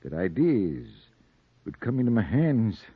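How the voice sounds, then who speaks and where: Low-voiced, folksy, menacing police sergeant. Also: male voice, sing-song, measured pace, slightly rough, no echo, exaggerated voice. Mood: musing, unhinged. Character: folksy male police sergeant, secretly a deranged strangler. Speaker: actor in radio drama. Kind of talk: 1940s radio noir acting. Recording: dull dry 1940s radio recording.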